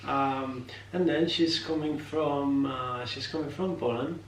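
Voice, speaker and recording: A man's voice: mid-range voice, fairly even intonation, measured pace. Spanish-accented man, hesitant, echoey room. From Spain. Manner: hesitant, many filler sounds, apologetic about his English. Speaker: vlogger. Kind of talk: casual chat. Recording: echoey room, mic set far off, faint noise.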